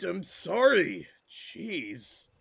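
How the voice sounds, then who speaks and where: A man playing a nosy, dim, whiny villager in a fantasy audio drama, hesitant and wheedling, with a creaky voice. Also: sits high, huge pitch swings, no room sound, heavily acted. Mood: flustered, backing off. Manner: hesitant, drawn-out, wheedling and defensive.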